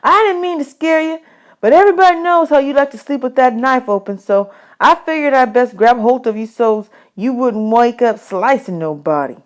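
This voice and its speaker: A woman's voice: high-pitched, moderate pace, pitched above the performer's own voice, close to an everyday voice. A woman voicing an eager, streetwise runaway boy with folksy, slangy talk in a storybook reading. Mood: apologetic.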